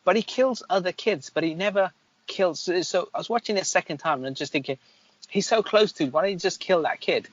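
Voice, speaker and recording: A man's voice: high voice, brisk, dry recording, muffled recording. A man reviewing films in casual chat as a podcast host, with an opinionated, critical manner.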